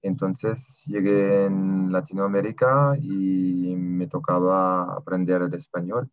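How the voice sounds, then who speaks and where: A man's voice: deep voice, little pitch movement, clear, resonant tone, no room sound, soft background music. A young man from southern France, a native French speaker, answering interview questions in a hesitant way and often stopping to find words, heard over a video call. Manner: halting, searching for words with many pauses. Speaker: interviewee, Spanish learner. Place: small room at home. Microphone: laptop webcam on a video call. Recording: dull lo-fi video call audio.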